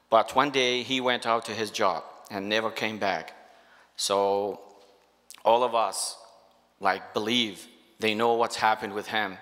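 Low-voiced Iraqi man in a reverberant hall. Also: pushed and bright. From Iraq. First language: Arabic. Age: middle-aged. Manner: halting, earnest non-native English. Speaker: church guest giving testimony. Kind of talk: spoken personal testimony. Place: church sanctuary stage. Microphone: handheld microphone on a stand. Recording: reverberant church hall sound.

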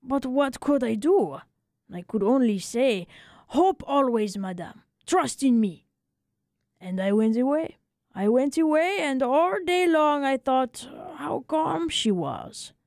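A woman playing an excitable, tender-hearted little French barber telling his tale in a dramatic, emphatic way for a radio story. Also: high-pitched, takes it slowly, no room sound, studio quality, voice pushed up, full character voice. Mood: troubled, tender.

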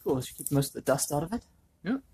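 A man's voice: fairly high pitch, sing-song, quick pace, mellow. A man giving casual hands-on workshop commentary, informally thinking aloud as he works. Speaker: DIY video presenter. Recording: background noise, clicks and handling sounds.

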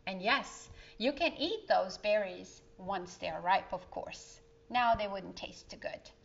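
A woman's voice: medium pitch, expressive, measured pace, gravelly. A woman voicing a kindly, knowing aunt in a storybook, explaining things patiently. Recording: dull, slightly lo-fi sound.

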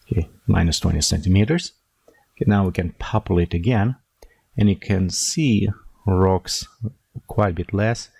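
A man with a delivery, talking through a screen-recorded software tutorial and thinking aloud as he clicks. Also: sits low, creaky, husky, dry recording.

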